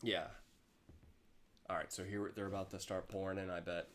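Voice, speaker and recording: A man's voice: deep voice, level tone, moderate pace. A man in his early twenties co-hosting a casual livestream. He reads viewer comments aloud in a laid-back, teasing way. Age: young adult. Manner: laid-back, teasing, often trails off quietly. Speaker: livestream co-host. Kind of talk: casual live-stream chat reading viewer comments.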